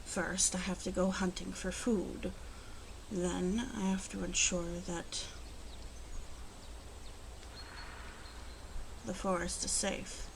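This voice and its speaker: A woman playing a protective, bossy female werewolf in a soft-spoken ASMR roleplay, murmuring and often slipping into whispers. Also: fairly low pitch, fairly even intonation, a little slow. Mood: calm, matter-of-fact. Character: female werewolf, gently protective, gruff, bossy toward humans. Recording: clearly audible ambient background noise.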